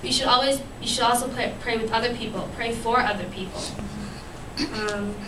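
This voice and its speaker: A woman's voice: fairly high pitch, normal speed. A young woman giving an earnest church devotional to a fellowship, with many ums and restarts, her voice still worn from having lost it. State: recovering from a lost voice. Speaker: youth speaker at church fellowship. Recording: echoey room, distant mic, noisy.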